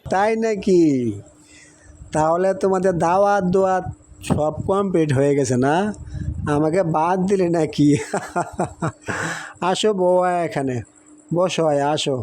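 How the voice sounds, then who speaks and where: A high-voiced, cheerful village man, acted by a man for a cartoon. He speaks rural Bangladeshi Bengali in a chatty, colloquial way. He is hospitable, a little boastful and keen to insist. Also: a little slow, long pauses, darkish tone, slight background noise. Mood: warm, nostalgic. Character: cheerful, hospitable village husband, boastful about his cattle. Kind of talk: amateur cartoon dialogue.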